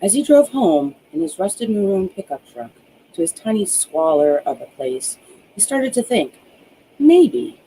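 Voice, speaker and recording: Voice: medium pitch, warm tone, a little hiss or hum, rough recording. An author reading their own novel aloud as scripted audiobook narration.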